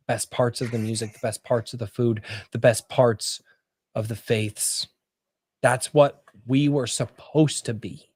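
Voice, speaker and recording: A man hosting a podcast, arguing emphatically and cursing freely. He slows down to hammer his points home. His voice sits at an average pitch and sounds dry and close to the mic. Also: darkish tone, no room sound. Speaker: host. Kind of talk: heated podcast discussion.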